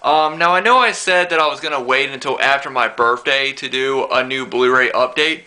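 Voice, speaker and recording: A man's voice: mid-range voice, normal speed, sharp tone. A young man with a stuffed-up nose, chatting casually to the camera about his new collection and rambling with many fillers and restarts. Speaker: collector vlogger. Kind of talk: casual to-camera show-and-tell.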